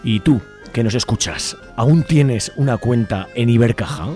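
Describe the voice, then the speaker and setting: Male radio co-host, Spain accent, medium pitch, scripted political editorial over a music bed. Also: measured pace, darkish tone.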